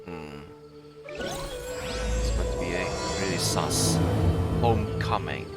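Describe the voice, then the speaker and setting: A man comments casually on a game he is playing. His voice is fairly low and slow, with wide swings in pitch. Loud game music runs behind him. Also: huge pitch swings. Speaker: game streamer.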